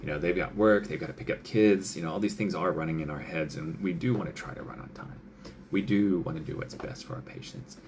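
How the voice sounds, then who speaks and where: A man's voice: sits low, animated, a little fast, a little mellow, a little hiss or hum. A male doctor giving casual advice, chatty, with many fillers, who often acts out what patients and doctors might say.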